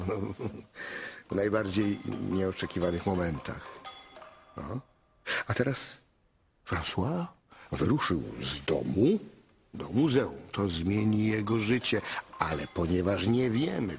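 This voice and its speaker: A man's voice: fairly low pitch, animated, unhurried, a little hiss or hum. An actor playing a whimsical, lovestruck man in a radio play, narrating with playful, theatrical asides. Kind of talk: radio drama acting.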